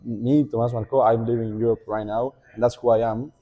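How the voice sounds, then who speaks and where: A young man with an Argentine Spanish accent, Spanish as his first language, talking as a podcast guest in a thoughtful way, pausing to think and often restarting his sentences. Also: medium pitch, fairly even intonation, mellow, dull audio. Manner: reflective, thinking aloud with many fillers and restarts. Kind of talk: casual podcast conversation.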